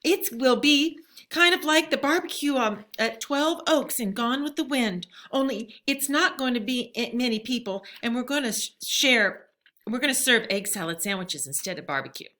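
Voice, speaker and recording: A woman's voice: a little high, lively intonation, moderate pace, pushed and bright, pitched above the performer's own voice. A woman reading a storybook as an eager, pleading young girl with folksy, childlike phrasing.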